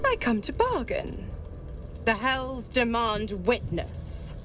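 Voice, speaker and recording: A woman's voice: a little high, sing-song, slow pace, slight background noise, exaggerated voice. An actress playing a scheming female devil in a video game, ceremonial and commanding in manner. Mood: smug bargaining. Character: imperious, scheming female devil bargain-maker. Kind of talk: video game villain acting. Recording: distant, loud music underneath.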